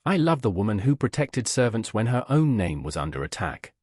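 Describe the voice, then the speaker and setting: A devoted Regency duke acted by a male narrator speaks with gentle, restrained earnestness. His voice is husky with expressive pitch, in a dry audiobook recording. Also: brisk, no echo. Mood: tender, earnest. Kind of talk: audiobook character dialogue.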